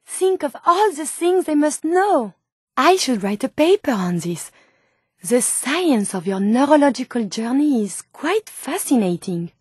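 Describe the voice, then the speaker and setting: A woman's voice: sits high, sing-song, unhurried, husky, dry recording, clean studio sound, exaggerated voice. A woman voicing an eager, idealistic robot scientist in a video game, speaking precise, formal lines with a French accent. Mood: eager wonder. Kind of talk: scripted video game companion dialogue.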